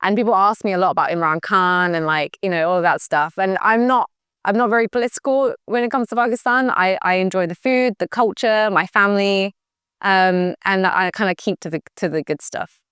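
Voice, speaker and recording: A woman's voice: medium pitch, brisk, fairly bright tone, smooth, dry recording, clean studio sound. A young woman answering interview questions in a chatty, enthusiastic way, with lots of "like" and "you know". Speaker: guest, former government official. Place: campus recording room.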